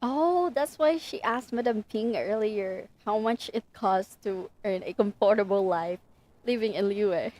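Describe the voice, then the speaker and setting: A woman livestreaming a game. She comments casually on what is happening and takes her time, speaking at a slow pace in a mid-range voice. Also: mid-range voice, a little mellow.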